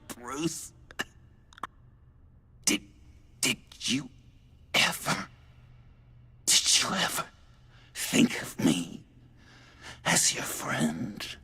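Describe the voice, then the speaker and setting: Unhinged heartbroken video game villain, raspy and breathy, pitch all over the place, talks in slow halting bursts. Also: male voice, pushed and bright, heavily acted. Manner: halting, theatrical, breaking into laughter. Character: unhinged, lovesick male villain, wounded and bitter.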